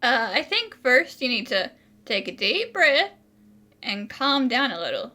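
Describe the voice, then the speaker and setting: Bright, animated woman gamer. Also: high voice, unhurried. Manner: conversational, chatting along with game characters. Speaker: gaming video creator. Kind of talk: casual game playthrough commentary.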